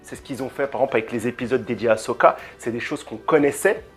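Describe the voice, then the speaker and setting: A man giving a casual, animated opinion rant as a video reviewer, hesitating and repeating words often, speaking into a handheld microphone. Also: mid-range voice, quick pace, soft background music. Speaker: YouTube reviewer.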